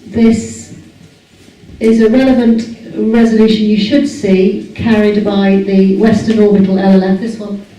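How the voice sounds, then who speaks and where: A woman's voice: medium pitch, flat delivery, unhurried, dark tone. A woman chairing a public meeting, hesitant with many fillers as she puts questions to the room. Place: large echoey meeting hall. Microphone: far camera microphone. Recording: echoey hall recorded from far off.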